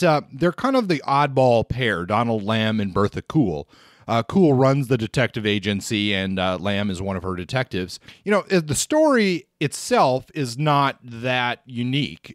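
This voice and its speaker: A man's voice: medium pitch, no room sound, studio quality. A man hosting a podcast, casually reviewing crime novels with many fillers and small restarts. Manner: relaxed, hesitant with many fillers. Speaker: podcast host reviewing books. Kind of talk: casual book review talk.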